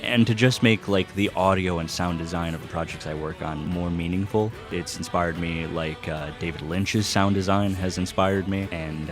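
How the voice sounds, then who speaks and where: A man giving a casual, enthusiastic monologue as host, speaking in an earnest, gushing way and swearing casually. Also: low-pitched.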